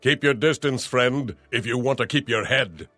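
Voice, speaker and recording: A man voicing a gruff, proud warrior smith in a fantasy game, curt and swaggering. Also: mid-range voice, normal speed, bright, forceful tone, dry recording, soft background music.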